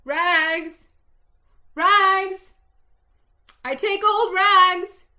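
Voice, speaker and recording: A woman putting on a tall, gentle young ragman from a read-aloud story, his clear tenor carrying high and long. He is strong but kind, and his voice rings brightly. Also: steady tone, extremely slow, full character voice. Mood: calling out.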